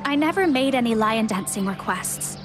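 A woman's voice: fairly high pitch, expressive, normal speed, lightly acted. An actress playing a reserved female townsperson in a video game, speaking politely but curtly. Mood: politely dismissive. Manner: polite, curt, dismissive. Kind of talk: game dialogue. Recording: game music behind the voice.

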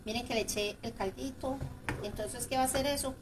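A woman's voice: fairly high pitch, a little fast. A chatty woman with a Paisa Colombian accent giving a casual cooking demonstration, often repeating words for emphasis. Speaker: home cook, video host. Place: home kitchen, indoors. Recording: clattering kitchen noise, distant microphone.

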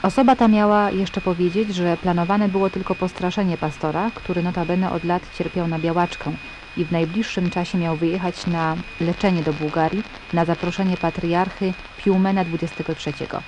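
A woman's voice: on the low side, steady tone, brisk, natural voice. A woman playing a solemn radio newsreader, reading a bulletin in a formal, serious manner. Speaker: radio news presenter. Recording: noisy, humming shortwave radio broadcast.

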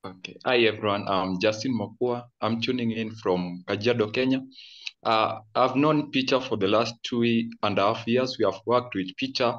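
A young man politely introducing himself on a video panel, plain and steady. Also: fairly low pitch, normal speed. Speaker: youth volunteer, panel guest. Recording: dull lo-fi call audio.

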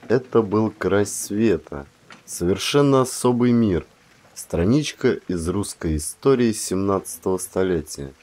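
A man with a fairly low voice narrates a literary audiobook. His intonation is very lively, rising and falling expressively. He phrases things dramatically and leaves deliberate pauses. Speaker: actor reading an audiobook.

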